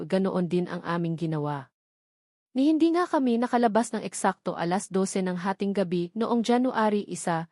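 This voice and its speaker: A woman's voice: medium pitch, a little mellow, dry recording, studio quality. A woman with a Filipino accent reading a scripted first-person story as an audiobook narrator.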